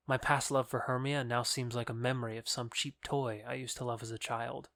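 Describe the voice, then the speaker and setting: A man's voice: medium pitch, fairly bright tone, dry recording, studio quality, lightly acted. A man playing an earnest, lovestruck young nobleman in a Shakespeare audio drama, declaiming sincerely.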